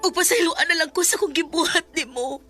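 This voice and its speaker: Casting: tender young mother-to-be, Cebuano accent, high voice, remorseful radio-drama heroine over music. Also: fairly quick, bright, forceful tone. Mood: tearful, pleading. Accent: Cebuano Filipino. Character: tender, remorseful young expectant mother torn between two men. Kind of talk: Visayan radio drama acting and narration.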